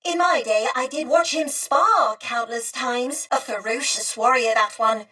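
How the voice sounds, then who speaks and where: Prim polite lady droid for a video game, really high voice, warm n formal, bit distant from mic. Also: a little slow, slightly rough, exaggerated voice. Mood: cheerful, earnest. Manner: prim, formal, courteous diction. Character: polite, warm, old-fashioned female droid, wistful and earnest. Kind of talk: video game character acting.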